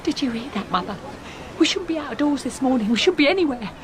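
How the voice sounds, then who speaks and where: A frightened young Englishwoman on the run, with a clearly high voice. Her manner is fretful and questioning, in audio drama style, with faint noise behind her. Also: expressive, fast talker, a crowd in the background.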